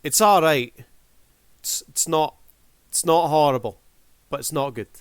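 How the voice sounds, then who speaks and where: A man's voice: a little high, measured pace, clean tone, no echo, studio quality. A man giving casual review commentary as a host, addressing his audience like a showman.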